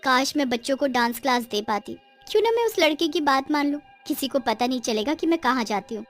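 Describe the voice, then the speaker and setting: Woman playing a high-voiced eager village girl. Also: brisk, soft background music. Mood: wistful. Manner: earnest, expressive. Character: eager, timid young village girl who loves dancing. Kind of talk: Hindi cartoon story acting.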